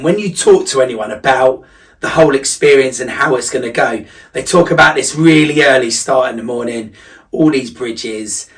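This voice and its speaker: A man's voice: medium pitch, a bit bright, distant mic. A man giving a casual vlog recap as a running coach, talking in a reflective, emphatic and conversational way.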